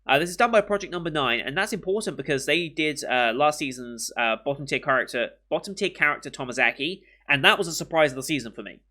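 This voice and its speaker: A young British man hosting an anime reaction channel, chatting casually and rambling with many pauses and restarts, a bit sniffly from a cold. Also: a little high, bright, forceful tone. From Britain. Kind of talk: casual chat to camera.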